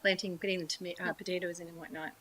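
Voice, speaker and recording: A woman hosting a relaxed panel chat, her tone bright and her voice sitting a little low. She talks in an easy, chatty way, with plenty of fillers and light laughter. A faint hiss sits under her voice. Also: very fast. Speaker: talk show host.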